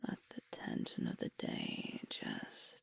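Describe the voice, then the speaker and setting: A woman playing a sweet, soothing werewolf girlfriend in an ASMR sleep-aid roleplay, whispering gently and reassuringly. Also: slow pace, natural voice. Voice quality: breathy whisper.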